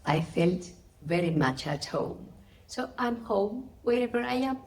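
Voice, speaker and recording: A middle-aged or older woman from Spain with a Spanish accent, answering interview questions and telling personal stories in an expressive, emphatic way. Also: mid-range voice, a little slow. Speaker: interviewee, spiritual teacher.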